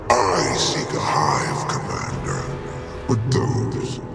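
A man voicing an aged, grandiose, bitter alien emperor in a video game, pompous and theatrical with a gravelly, booming voice. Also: huge pitch swings, slow, exaggerated voice. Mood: brooding. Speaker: game villain. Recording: cavernous reverb, music underneath. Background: game music.

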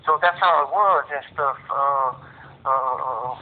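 A man's voice: mid-range voice, takes it slowly. A man talking casually and bluntly in street slang, telling his story as an inmate interviewed over a prison phone line.

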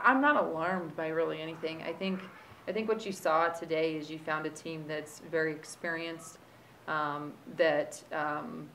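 A woman basketball coach in her thirties answering press conference questions at a table microphone, steady and reflective, often restarting her sentences. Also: sits low, a little hiss or hum, low-quality recording. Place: press conference room with sponsor backdrop.

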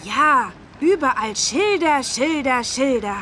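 Bright, eager little boy. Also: high-pitched, expressive, slow pace, a little hiss or hum, full character voice. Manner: eager, chirpy. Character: bright, helpful little boy, the elephant's friend. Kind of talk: children's educational game acting.

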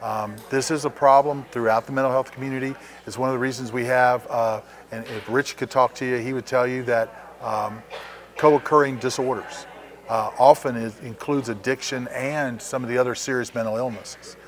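A man in his fifties, a police captain, giving halting, measured interview remarks. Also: medium pitch, fairly even intonation. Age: 50s. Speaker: police captain, crisis team coordinator.

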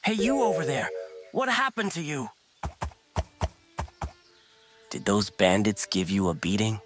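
A man's voice: medium pitch, wildly swooping pitch, normal speed. A man playing a polite, shrewd wandering merchant with a salesman's manner in a comic dub. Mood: courteous concern. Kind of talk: manga comic dub acting. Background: music under the dialogue.

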